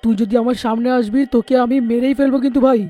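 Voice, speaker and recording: A man voicing a greedy, scheming young poultry seller with a wheedling manner in an animated horror folk tale. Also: very high-pitched, very fast, faint music behind. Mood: threatening.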